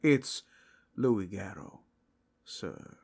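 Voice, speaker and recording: A wry, laid-back werewolf, played by a man in an ASMR roleplay. His low-key voice is gravelly and husky, with casual, lively phrasing and a reluctance to fight. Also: drawn-out words, heavily acted. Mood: wary, deferential.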